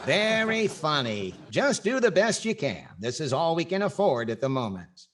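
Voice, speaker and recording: A man's voice: a little high, sing-song, normal speed, noisy background. A man acting as a dry, wry comedian host and narrator in scripted 1940s radio comedy.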